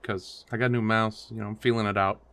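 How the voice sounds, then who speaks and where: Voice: medium pitch, level tone, fast talker, a bit bright. A game streamer giving casual, jokey playthrough commentary and mimicking how the characters would react.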